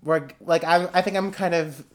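A woman's voice: on the low side, fairly even intonation, normal speed. A young woman co-hosting a casual podcast, often laughing and talking with many fillers and restarts. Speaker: podcast co-host. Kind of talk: casual chat.

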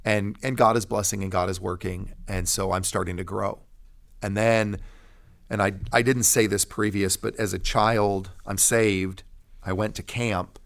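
Low-voiced man giving an emphatic testimony. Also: steady tone, unhurried, gravelly, a bit bright. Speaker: pastor and podcast guest telling his testimony. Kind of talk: personal testimony in conversation.

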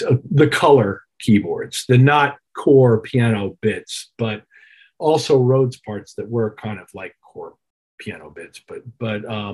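A middle-aged man with a husky voice answering interview questions as a musician, reflective and detailed in his explanations. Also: medium pitch. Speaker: interview guest, touring keyboard player.

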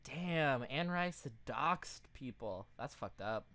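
A man's voice: mid-range voice, expressive, takes it slowly, bright, forceful tone. A man co-hosting a podcast with casual, profane, playful banter that wanders into tangents. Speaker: podcast co-host.

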